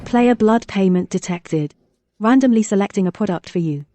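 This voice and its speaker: A woman's voice: mid-range voice, normal speed, dark tone, natural voice. A woman voicing an impersonal vending machine system with formal announcements in a motion comic dub.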